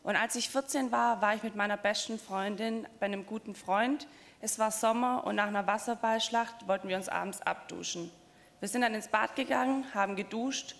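A woman in her twenties calmly telling a personal story in a darkened hall. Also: medium pitch, gravelly. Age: 20s. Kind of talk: spoken personal testimony. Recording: long reverb in a large hall.

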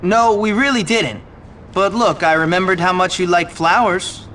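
An actor playing a cocky, sarcastic teenage schoolboy in a video game, brash and sardonic. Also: male voice, high voice, moderate pace, pushed and bright, slight background noise. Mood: dry. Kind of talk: video game cutscene acting.

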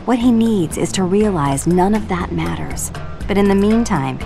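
A woman voicing a gentle, wise, concerned penguin mother in an animated video game, soothing and caring. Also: medium pitch, normal speed, creaky. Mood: gently concerned. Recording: music behind the voice.

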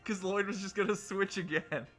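A man's voice: sits high, normal speed, a bit bright, soft background music. A man joking casually as he plays a video game with friends, giggly and mock-dramatic. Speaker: player in a group video game match. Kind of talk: casual, jokey gameplay banter.

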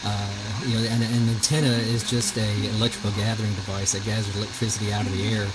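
A middle-aged man giving a casual hands-on demonstration in a halting, hesitant way, recorded on a handheld camera. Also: fairly low pitch, flat delivery, very noisy. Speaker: homestead vlogger. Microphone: handheld camera, speaker behind it.

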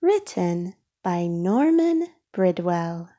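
A woman reading a children's storybook aloud in a careful, expressive way for young listeners. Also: fairly low pitch, extremely slow. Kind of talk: read-aloud storybook narration.

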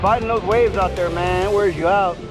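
A middle-aged man chatting casually and excitedly in slang for an outdoor fishing vlog, recorded outdoors on an action camera. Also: sits high, normal speed, over music. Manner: excitable, slangy, calls people bro and baby. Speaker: fishing vlogger. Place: outdoors on a surf beach. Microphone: body or handheld action camera.

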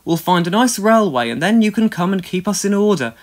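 A man's voice: high voice, expressive, brisk, voice pushed up. A man voicing a cheeky, teasing young steam engine in a children's story. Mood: cheering. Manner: teasing, bright-spirited.

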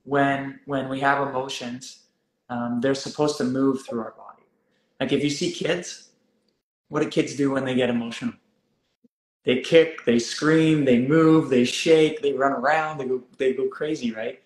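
A man in his thirties hosting a live wellness stream, calm and gently guiding, with slow deliberate phrasing. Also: medium pitch, roomy sound, rough recording. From South Africa, raised in Canada. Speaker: wellness host. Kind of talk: live-stream coaching chat. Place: room indoors.

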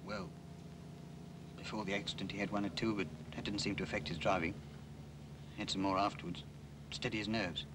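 A young English man, played by an actor, shaken, worn out and earnest. It is old film acting on a clearly noisy soundtrack. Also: deep voice, fairly even intonation, brisk, long pauses, close to an everyday voice. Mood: subdued, evasive. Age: young adult. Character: shaken, weary, urgent young accident survivor. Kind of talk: 1950s British film acting.

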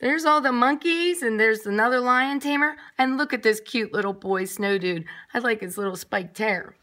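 A woman's voice: fairly high pitch, normal speed, bright, forceful tone. A woman nursery teacher reading a picture book aloud expressively, with chatty asides to young children. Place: classroom, indoors.

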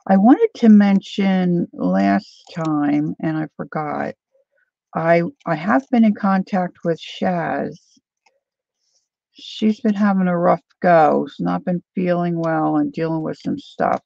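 A woman chats softly and unhurriedly with viewers as she works at a craft table. Her voice is mellow and a little gravelly. The live stream audio is dry and slightly dull. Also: on the low side, animated, dry recording. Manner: soft, unhurried, chatty, greets viewers. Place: indoor craft table, overhead camera.

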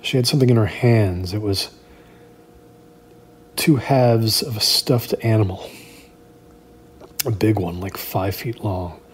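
A man performing a first-person horror story like a spoken diary, in a halting, uneasy, confiding way. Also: lowish voice. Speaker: narrator of a horror audio drama. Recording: clear background noise.

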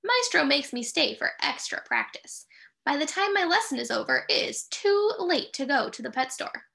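A middle-aged woman reading a picture book aloud to young children, expressive and sing-song, pausing to put questions to her listeners. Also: high voice, gravelly. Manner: expressive, sing-song, with drawn-out key phrases and dramatic gasps.

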